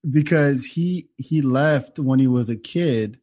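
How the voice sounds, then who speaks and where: A man from Senegal hosts a casual podcast at a mid-range pitch. He tells loose, jokey stories and swears freely, keeping the talk relaxed and conversational. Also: slow. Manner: loose, swearing, joking storyteller. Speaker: podcast host.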